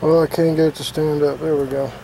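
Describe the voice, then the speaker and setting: A man's voice: fairly high pitch, flat delivery, fast talker, creaky, noisy background. A man working as an HVAC technician, talking through a repair step by step outdoors in a plain, casual way with many fillers. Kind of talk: casual hands-on repair demonstration. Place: outdoors beside an air-conditioning unit.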